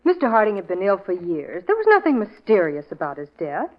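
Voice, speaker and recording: Coy, expressive young radio-drama nurse. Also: female voice, mid-range voice, a little fast, loud music behind the voice. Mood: cool, assured. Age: young adult. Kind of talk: 1940s radio drama acting. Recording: muffled old radio drama recording.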